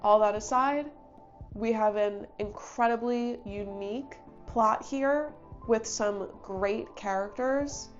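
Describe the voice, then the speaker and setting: A woman's voice: fairly high pitch, takes it slowly, dull audio, faint music behind. A young woman with a stuffy voice from a cold, chatting enthusiastically as host of a book review channel. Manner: chatty, enthusiastic, thinks aloud. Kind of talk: casual book review talk.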